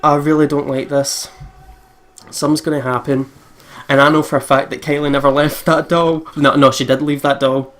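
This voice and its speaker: A man's voice: a little high, little pitch movement, normal speed, fairly bright tone, slight background noise. A man co-hosting a let's play, chatting casually and reading game text aloud. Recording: game music behind the voice. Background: video game music.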